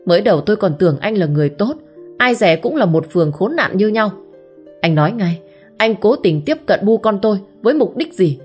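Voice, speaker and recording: A woman voicing a young village mother who shouts accusations, her voice rising to a shrill pitch. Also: sing-song, brisk, soft background music, close to an everyday voice. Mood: furious.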